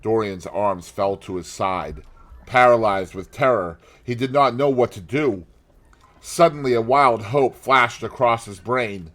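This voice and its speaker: A man reading literary fiction as an audiobook narrator, dramatic and deliberate, letting his phrases drop at the end. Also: on the low side, fairly bright tone.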